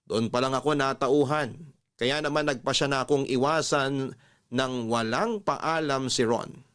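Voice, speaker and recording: A man reading a scripted first-person love story as a radio drama narrator. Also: medium pitch. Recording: music bed under the voice.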